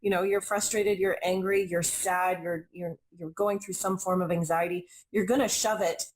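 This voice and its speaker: A woman's voice: on the low side, steady tone, moderate pace, low-quality recording. A young adult woman speaking as a guest in an interview, earnest and reflective, often repeating phrases for emphasis. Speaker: intuitive reader and healer, guest. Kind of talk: conversational interview.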